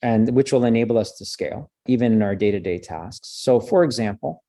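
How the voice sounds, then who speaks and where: A man's voice: medium pitch, fairly quick, warm tone, dry recording, studio quality. A man from French Canada, a native French speaker, answering interview questions as a communications professor in a thoughtful, discursive way, often restarting and tagging "right?".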